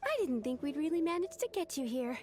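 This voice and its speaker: Very high-pitched eager young secretary. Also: female voice, expressive, fast talker, darkish tone. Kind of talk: scripted video game dialogue.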